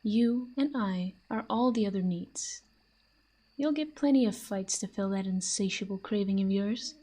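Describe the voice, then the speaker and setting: A woman playing a possessive, teasing fantasy villain in an intimate audio roleplay, with a soft, breathy voice and a smug manner. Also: mid-range voice, measured pace. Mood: jealous, teasing. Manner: smug, taunting, breathy murmurs. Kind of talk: intimate scripted audio roleplay addressed to the listener.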